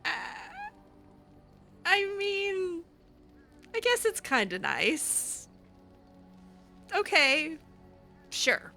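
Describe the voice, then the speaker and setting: Bright, animated woman roleplaying hesitantly. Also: extremely high pitch, very slow, vocal fry, long pauses, faint music behind. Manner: hesitant, conversational, frequent trailing off. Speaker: roleplay game streamer. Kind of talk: improvised in-character roleplay over a video game.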